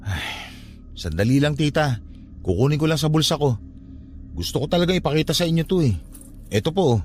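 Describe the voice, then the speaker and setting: Male actor for a mischievous young nephew: whiny protests, animated delivery, radio drama with faint music. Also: on the high side, brisk, close to an everyday voice. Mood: sheepish. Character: defensive, curious young nephew caught in mischief.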